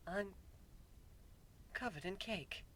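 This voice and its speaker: A man voicing a gentle, dignified pony princess, soft-spoken, hesitant and easily flustered, in a fantasy story. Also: high-pitched, slow, no room sound, voice pushed up, heavily acted. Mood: dumbfounded.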